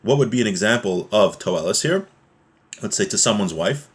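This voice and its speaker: A man's voice: lowish voice, animated, normal speed, breathy edge. Man plainly explaining a religious text. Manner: informal, plain-spoken explaining with everyday examples. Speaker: religious teacher.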